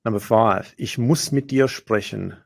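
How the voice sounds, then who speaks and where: A man teaching grammar as a language lesson, patiently explaining example sentences and slowing down to say the foreign phrases clearly. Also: on the low side.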